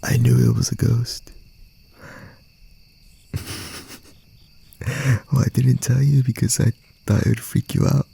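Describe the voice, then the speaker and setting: A man playing a gentle, teasing young boyfriend in an intimate ASMR roleplay, murmuring softly and reassuringly in a breathy, gravelly voice. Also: big pitch swings, full character voice. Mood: playfully earnest. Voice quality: soft, breathy, husky, gravelly low murmur. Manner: unhurried, rambling, reassuring, with pauses.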